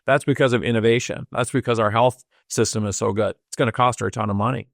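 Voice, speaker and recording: A fifty-year-old man with a mid-range voice co-hosts in a relaxed, agreeable manner, often nodding along before adding his own take. The recording is close and dry. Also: medium pitch, fast, creaky, dry recording. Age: 50s. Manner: agreeable, frequent affirmations and fillers. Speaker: talk show co-host. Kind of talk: casual political chat.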